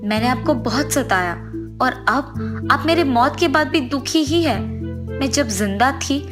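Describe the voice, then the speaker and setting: A woman's voice: high-pitched, animated, measured pace, over music. A woman voicing the anxious, remorseful ghost of a dead wife in a cartoon story, earnest and pleading.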